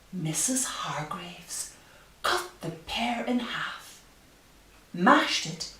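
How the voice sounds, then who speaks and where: Distant roomy recording, woman, children's storytelling, unhurried, very expressive intonation, dramatic emphasis. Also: medium pitch. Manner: dramatic emphasis, drawn-out key phrases. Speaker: storyteller reading a picture book to children. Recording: roomy echo, distant microphone.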